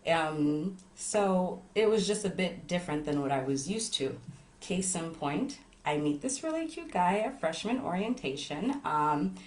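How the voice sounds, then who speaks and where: Low-voiced, nervous young woman from Montreal. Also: lively intonation, unhurried. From Montreal, Canada. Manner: chatty, informal, rambling. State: nervous, a little sleepy. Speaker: travel content creator. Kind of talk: casual personal chat to online viewers. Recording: echoey room.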